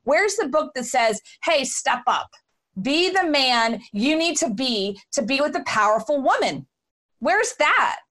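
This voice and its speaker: A woman from Houston, hosting an interview in an emphatic, animated way. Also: high-pitched, unhurried. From Houston, Texas. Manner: emphatic, animated, talks over guest. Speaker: podcast host. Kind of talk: casual interview chat.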